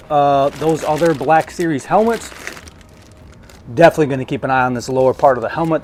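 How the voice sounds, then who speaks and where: A man's voice: on the high side, a little mellow, slight background noise. A man giving a casual, hands-on unboxing review in a conversational way, thinking aloud as he goes. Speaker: product reviewer.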